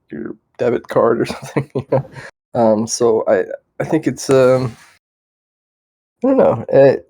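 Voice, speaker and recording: A man with a mellow, gravelly voice of middle pitch takes part in a casual meeting. He talks hesitantly, searching for his words as he shares his view. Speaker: working group participant. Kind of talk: casual chat.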